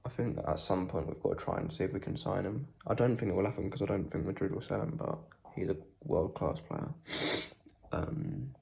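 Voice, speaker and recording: A young man with a cold and sore throat, talking quietly and casually about a football match, with lots of ums. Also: low voice, fairly quick, gravelly. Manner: speaks quietly, holding back volume; rambling with many ums. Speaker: football fan vlogger. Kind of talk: casual post-match reaction.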